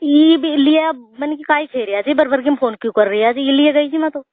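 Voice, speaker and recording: A woman's voice: a little fast, no echo. A woman arguing insistently in an informal phone conversation, often repeating herself. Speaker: daughter-in-law on a family phone call. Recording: muffled low-fidelity phone call recording.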